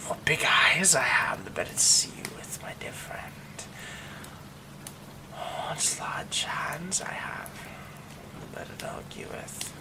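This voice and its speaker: A man voicing a menacing yandere werewolf who is an old childhood friend, in an ASMR roleplay, talking in slow, soft, threatening whispers. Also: on the high side, huge pitch swings, vocal fry, exaggerated voice, poor audio.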